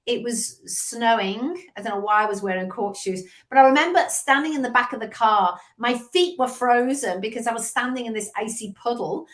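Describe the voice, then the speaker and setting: A woman's voice: a little high, moderate pace, hardly any pauses. A woman in her fifties from England with a Liverpool accent, a sales coach telling stories as a guest on a video call interview. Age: 50s. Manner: chatty storyteller, lively asides. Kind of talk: casual interview chat.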